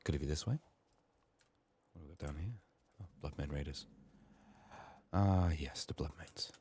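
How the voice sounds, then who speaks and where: A man softly narrating his video game in an ASMR style, musing to himself in a hushed, slightly strained, under-the-weather voice. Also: extremely low pitch, moderate pace, a little hiss or hum. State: sick, strained voice. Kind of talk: soft-spoken, partly whispered ASMR game commentary.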